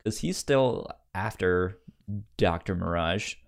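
A man chats casually as a podcast co-host about something he has read. His delivery is slow and halting, with sounds and words often repeated. His pitch swings in a lively, varied way. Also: creaky, smooth. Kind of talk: casual book discussion.

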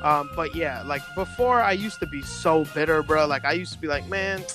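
A man's voice: fairly high pitch, moderate pace, over music. A man whose first language is Spanish, chatting casually as a podcast guest and telling a rambling story full of slang. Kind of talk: casual chat.

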